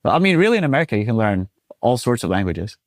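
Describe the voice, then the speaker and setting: A man's voice: medium pitch, very fast, dry recording, studio quality. A young man from Sweden, a guest speaking casually in an interview with many fillers. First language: Swedish. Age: 20s. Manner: relaxed and chatty, many fillers like "you know".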